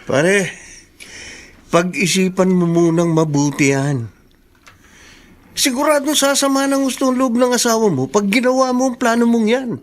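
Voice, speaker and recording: A man's voice: very high-pitched, measured pace, pauses often. An actor with a Filipino accent playing a frank, sensible male friend in a radio drama. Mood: disapproving, advising. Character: frank, sensible male buddy giving firm advice.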